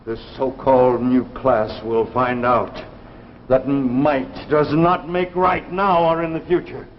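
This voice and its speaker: A man's voice: mid-range voice, fairly even intonation, takes it slowly, close to an everyday voice. An actor in a 1950s television drama playing a vengeful, unsettling man with a dramatic, emphatic delivery. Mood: menacing. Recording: dull, noisy 1950s TV recording.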